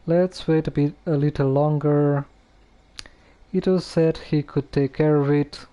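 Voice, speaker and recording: An actor voicing a calm, reassuring young male traveler in a video game, measured and understated. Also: on the high side, muffled recording, natural voice. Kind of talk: English video game voice acting.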